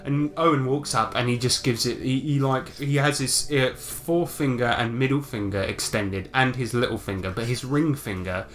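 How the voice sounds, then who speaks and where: A man's voice: medium pitch, moderate pace, few pauses, soft background music. A man narrating a tabletop game as game master, improvising descriptions in a hesitant, descriptive way.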